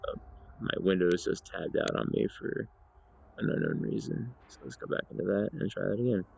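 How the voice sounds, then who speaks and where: Low, gravelly man presenting a demo. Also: a little fast, a little mellow, highs cut off, slight background noise. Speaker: gaming video presenter. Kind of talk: casual spoken demonstration.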